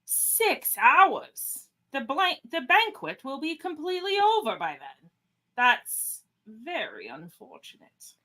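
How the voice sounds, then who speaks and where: A woman's voice: high-pitched, huge pitch swings, slow pace, sharp tone, exaggerated voice. A woman playing a dignified, authoritative starship captain in a fan radio play. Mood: dismayed. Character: commanding, questioning starship captain, formal and dignified.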